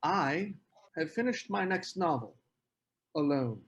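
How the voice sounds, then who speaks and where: Man acting a husky, brooding novelist. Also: a little high, expressive, takes it slowly, highs cut off, heavily acted. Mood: cool, deliberate. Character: brooding male novelist, cool and bitter in marriage. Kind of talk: screenplay table read.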